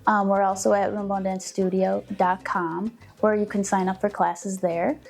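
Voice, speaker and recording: A woman's voice: medium pitch, level tone, normal speed, creaky, a little mellow, faint music behind. A woman who runs a dance studio, talking casually and informatively to camera about her classes. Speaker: dance instructor and studio founder. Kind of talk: casual promotional talk to camera.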